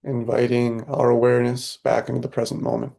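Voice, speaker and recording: A man guides a meditation with a very flat, barely moving pitch and a creaky edge to his voice. The tone is dark and mellow. He is calm and soothing, leaving long silences between short phrases. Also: a little fast. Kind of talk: guided meditation, spoken softly with long pauses.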